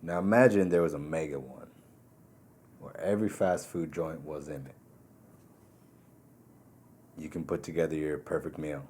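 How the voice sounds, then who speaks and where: A man with a very low voice hosts a casual podcast, firing off playful questions and filling in with short agreements. He cannot pronounce his R's. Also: moderate pace, long pauses, a little mellow, a little hiss or hum. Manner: joking, asks questions, frequent short backchannels. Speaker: podcast host. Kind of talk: casual chat.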